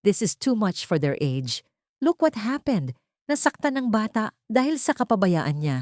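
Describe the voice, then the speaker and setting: A woman's voice: mid-range voice, big pitch swings, a little slow, darkish tone, voice pushed up. A woman voicing a scheming woman in an audio drama, with fake sweetness and theatrical dismay. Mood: feigned alarm. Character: scheming woman feigning sweet concern, alarmed and accusing.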